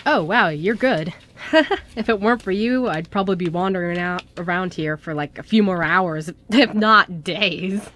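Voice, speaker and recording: A woman's voice: lowish voice, moderate pace, a bit bright, a little hiss or hum, natural voice. A woman playing a sassy, casual young male ghost in an amateur radio play. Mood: grateful, friendly. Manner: casual, flippant.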